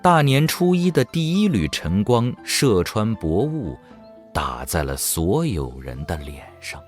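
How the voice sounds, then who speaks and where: A man's voice: fairly low pitch, big pitch swings, measured pace. A man giving dramatic scripted narration for an audio drama. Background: faint music.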